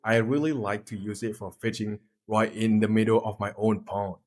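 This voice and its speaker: A man's voice: lowish voice, steady tone, moderate pace. A twenty-two-year-old man with a Thai accent, presenting a scripted class talk in a friendly way. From Thailand. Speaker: student presenter.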